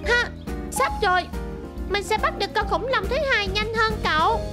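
A very high-pitched, confident little girl from a kids' cartoon. She is stubborn and boastful, always ready to defy anyone. Music plays clearly behind her. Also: moderate pace. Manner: boastful, defiant. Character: stubborn, confident young girl dinosaur trainer. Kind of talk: animated children's cartoon dub. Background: music bed.

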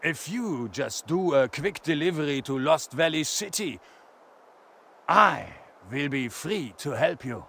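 An actor voicing a burly German lumberjack with a German accent, placating and bargaining in stilted phrases, in a video game. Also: male voice, fairly high pitch, animated, a little slow, gravelly, a bit bright, slight background noise, full character voice. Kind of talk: video game cutscene acting.

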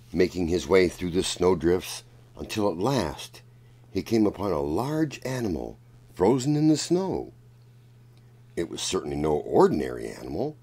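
Male children's story narrator, unhurried and deliberate, with lively swings in pitch. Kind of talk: read-aloud storybook narration.

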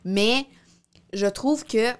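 A woman's voice: a little high, very slow, clean tone. Young quebec french woman, casual podcast talk, hesitant, tosses in english words, close studio mic. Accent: Québécois French. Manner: informal, hesitant, peppers speech with English words. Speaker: podcast co-host. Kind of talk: casual discussion. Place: small indoor podcast studio. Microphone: close studio microphone on boom arm.

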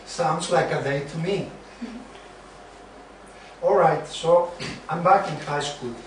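Distant echoey recording, man as wry male friend, deadpan, slightly high voice. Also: drawn-out words. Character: wry, joking young male friend, Chandler. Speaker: student in an amateur dramatized reading. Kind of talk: amateur dramatized sitcom reading. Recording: distant, very echoey room, faint noise.

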